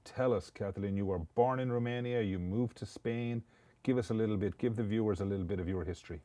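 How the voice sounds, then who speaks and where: Deep, mellow-voiced podcast host man. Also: quick pace, dry recording. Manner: rambling, chatty, with many restarts. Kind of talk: casual interview podcast.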